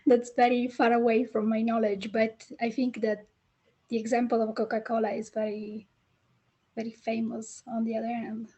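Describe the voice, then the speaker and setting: A woman's voice: on the high side, level tone, moderate pace, warm tone, slightly rough, muffled recording. A woman attending a seminar, asking a question hesitantly with many restarts on a video call.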